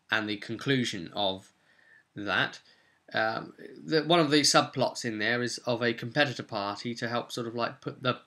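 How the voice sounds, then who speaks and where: A man hosting as game master, recapping a tabletop roleplay session in a chatty, informal way with halting asides. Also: medium pitch, pushed and bright. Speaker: game master, vidcast host.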